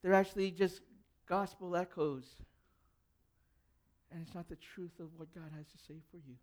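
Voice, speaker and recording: A man's voice: high-pitched, fairly even intonation, moderate pace, a little mellow. A young adult man, a pastor whose first language was Cantonese, preaching a conversational sermon and putting questions to the congregation. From grew up in an English-speaking country.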